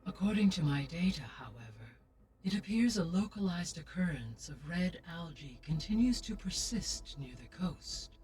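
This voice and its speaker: A woman voicing a calm, formal female AI guardian in a video game, speaking in a measured, precise way. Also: lowish voice, natural voice. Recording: distant game audio with music.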